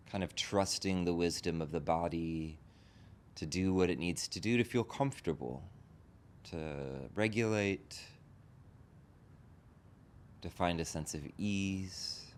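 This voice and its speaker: Low male voice, meditation teacher, gentle spaced phrases, guided practice, faint rumbling background noise. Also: unhurried, long pauses. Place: group meditation session in a room.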